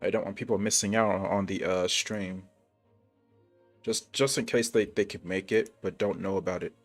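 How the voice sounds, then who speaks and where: A man with a fairly low voice streams a game and chats casually to viewers. His delivery rambles, full of hesitation and slang. Music plays clearly enough to hear behind him. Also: steady tone, normal speed. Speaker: live streamer. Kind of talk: casual gaming livestream chat.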